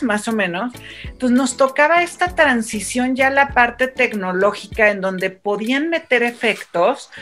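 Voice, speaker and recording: A woman, a radio broadcaster telling stories in a casual panel chat, animated and full of quick restarts. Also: fairly high pitch.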